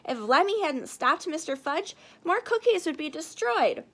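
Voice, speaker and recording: Storybook heroine baker, read by a woman: high, lively, kind, earnest, determined. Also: unhurried, full character voice. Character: earnest, kind winged heroine baker, loyal to her dog.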